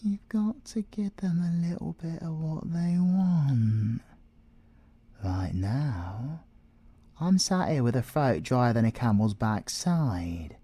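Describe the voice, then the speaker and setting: A British man playing a cocky, flirtatious male siren in an ASMR audio roleplay, breathy and teasing, often slipping into whispers. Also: medium pitch, animated, takes it slowly, mellow, dry recording. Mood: amused, patronising, then coaxing, cheeky. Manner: teasing, theatrical, drops into whispers.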